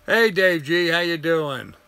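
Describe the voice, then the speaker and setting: An older man hosts a live stream in a bright, clear voice that has gone a little hoarse. He rambles in a friendly way and greets viewers by name as he answers their comments. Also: fairly high pitch, expressive, a little slow, dry recording.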